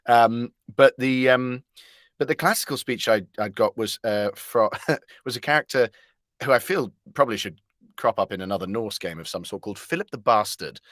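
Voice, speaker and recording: A man's voice: medium pitch, normal speed, a bit bright, no echo, clean studio sound. A man chatting casually as an interview guest, jovial and rambling, telling stories with a hesitant delivery.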